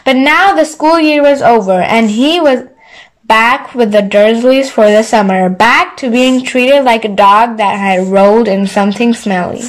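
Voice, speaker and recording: A woman with a somewhat high-pitched voice reads a story aloud for bedtime listeners. She delivers scripted narration as an audiobook reader. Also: measured pace, low-quality recording.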